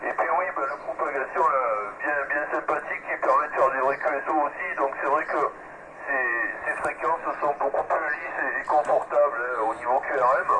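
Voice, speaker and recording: Loud radio noise surrounds a man with a slightly high voice. He chats casually as a ham operator over a two-way link, hesitating often between phrases. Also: steady tone, fairly quick. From southern France. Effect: radio transmission. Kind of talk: casual radio contact chat. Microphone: radio's stock handheld microphone. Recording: very noisy two-way radio transmission.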